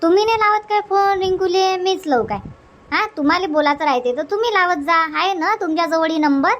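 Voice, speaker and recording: Woman doing a village mom, high voice, insistent n homely, rural vidarbha marathi accent, cartoon comedy. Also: brisk, lo-fi sound. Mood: nagging, insistent. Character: middle-aged village farm mother, nagging but caring.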